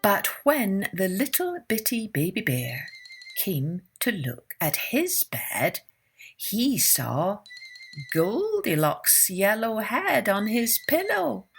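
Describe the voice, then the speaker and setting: A woman's voice: medium pitch, wildly swooping pitch, slow pace, gravelly, faint music behind. A woman narrating a children's fairytale as a podcast storyteller, expressively and with dramatic emphasis.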